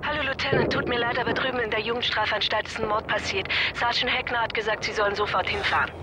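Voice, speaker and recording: A woman's voice: a little high, fairly even intonation, fast talker, heavy background noise, close to an everyday voice. A woman playing a polite but insistent, apologetic police dispatcher on the phone in a radio drama. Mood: apologetic, urgent.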